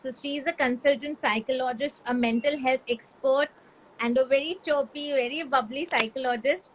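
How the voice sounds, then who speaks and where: A woman with an Indian accent hosting a live talk on mental health, speaking earnestly and mixing English with Hindi. Her voice is high and youthful, the kind others call a baby voice. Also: fairly even intonation, normal speed, slight background noise, rough recording. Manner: switches between English and Hindi mid-sentence; earnest, asks the audience questions. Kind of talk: casual talk to a live audience.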